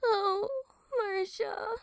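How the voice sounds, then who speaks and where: A woman's voice: extremely high pitch, little pitch movement, drawn-out words, gravelly, heavily acted. A woman playing a timid, anxious young female secretary who stammers apologetically in an audio drama. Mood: tearful.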